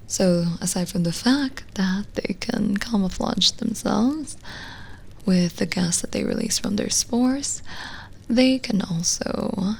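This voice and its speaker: A woman playing a lonely, gentle witch in an ASMR roleplay, speaking softly and intimately, often in a whisper, with halting pauses. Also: medium pitch, exaggerated voice. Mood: soft, instructive. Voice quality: soft, breathy, often whispered. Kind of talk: ASMR roleplay, slow soothing scripted acting.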